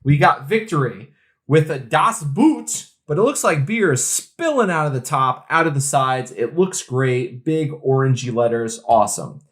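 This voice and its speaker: A man's voice: mid-range voice, animated, a little slow. A man co-hosting a podcast, chatting casually in an enthusiastic, slangy way. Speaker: co-host of a craft beer podcast.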